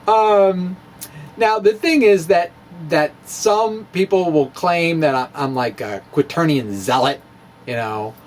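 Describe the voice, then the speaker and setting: A man's voice: fairly high pitch, takes it slowly, a little hiss or hum. Chatty self-amused man lecturing on physics. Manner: chatty and self-amused, many fillers, chuckles at own jokes. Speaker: physics blogger giving a talk. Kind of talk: informal physics lecture at a chalkboard.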